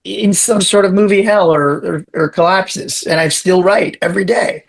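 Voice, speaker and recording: A man with a raspy voice, talking animatedly as a podcast guest, with lots of restarts and quick bursts. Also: high-pitched. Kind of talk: casual interview chat.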